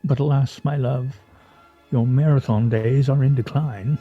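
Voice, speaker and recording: A man's voice: medium pitch, steady tone, a little slow, dark tone, husky, highs cut off, soft background music. An actor in a radio play playing a witty, pompous man nearing fifty, with ornate phrasing and wry, theatrical delivery. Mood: dryly sardonic.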